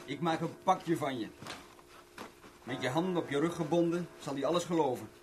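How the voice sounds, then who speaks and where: A man's voice: fairly high pitch, moderate pace, noisy background, close to an everyday voice. An actor playing a cocky, scheming small-time crook in a 1970s Dutch radio drama, chummy and mock-friendly as he boasts and explains.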